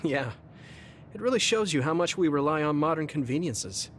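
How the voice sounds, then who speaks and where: An actor playing a kind, level-headed young man in an anime game, gentle and considerate. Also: fairly high pitch, expressive, moderate pace, a little hiss or hum. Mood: kind, calm.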